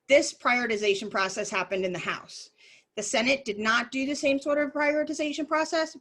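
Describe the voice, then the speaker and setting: A woman lobbyist leading an informal online training, explaining things briskly with frequent ums and restarts, her voice worn down and a cough drop in her mouth. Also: on the high side, fairly bright tone.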